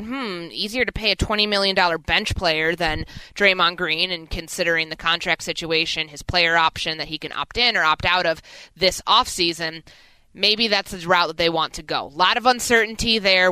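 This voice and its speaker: Bright, clear-voiced woman sports radio host. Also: lowish voice, dry recording, rough recording. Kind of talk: sports talk commentary.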